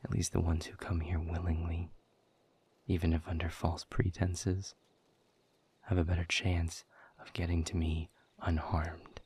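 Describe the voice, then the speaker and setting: Man acting a husky, very deep, weary demon. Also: monotone, darkish tone, exaggerated voice. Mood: cynical, resigned. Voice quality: husky, breathy, often dropping toward a whisper. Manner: measured, deliberate, sardonic, formal phrasing. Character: weary, bitter, guarded male demon captive, quietly defiant. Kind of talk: scripted audio roleplay monologue.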